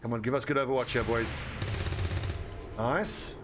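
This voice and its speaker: A man's voice: medium pitch, fairly even intonation, normal speed, music in the background. A man with a South African accent giving casual, blunt commentary while streaming a game and chatting with viewers. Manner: chatty, blunt, swears freely, talks to viewers.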